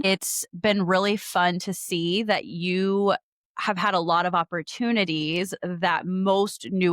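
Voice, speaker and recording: A woman hosting a casual podcast, talking in an excitable, informal, girl-talk way peppered with "like". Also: lowish voice, no echo, clean studio sound. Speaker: podcast host. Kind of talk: casual chatty phone-call podcast.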